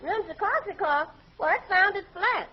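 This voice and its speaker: An actress voicing a good-natured, wry housemaid, in a folksy 1940s radio comedy manner. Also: female voice, extremely high pitch, lively intonation, a little slow. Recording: noisy, muffled vintage radio broadcast.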